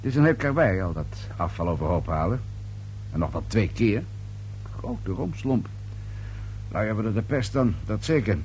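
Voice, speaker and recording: A man's voice: on the low side, lively intonation, normal speed, pauses often, darkish tone, close to an everyday voice, rough recording. An actor playing a shrewd, sardonic male police inspector in a radio play, probing in short dry phrases. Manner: probing, dry, clipped, repeats answers pointedly. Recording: old radio play recording with faint hum.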